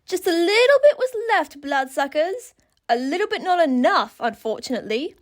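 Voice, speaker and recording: A woman voicing a theatrical, mocking host of a spooky cartoon contest, announcing in a showy, drawn-out way. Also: very high-pitched, sing-song. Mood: gloating. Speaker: game show host. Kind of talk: scripted animated show announcing.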